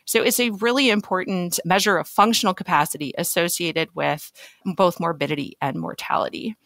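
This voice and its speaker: Lower-voiced woman, explanatory medical expert. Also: a little fast, fairly bright tone, no echo. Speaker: physician, expert guest. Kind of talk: explanatory podcast interview.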